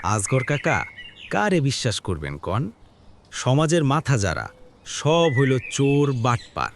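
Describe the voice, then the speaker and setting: This is a man playing a frank village man in a cartoon drama. He moralizes with emphasis in rural Bangladeshi Bengali, his mid-pitched voice moving up and down freely. There is slight noise behind him. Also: huge pitch swings, takes it slowly, vocal fry, full character voice. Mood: cynical, earnest. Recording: faint background noise with clicks.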